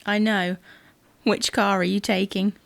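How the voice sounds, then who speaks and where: A woman playing an anxious, fussing wife who is quick to contradict in a domestic audio drama. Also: medium pitch, level tone, vocal fry, dry recording. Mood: chatty, curious. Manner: fretful, insistent. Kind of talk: domestic comedy-drama dialogue.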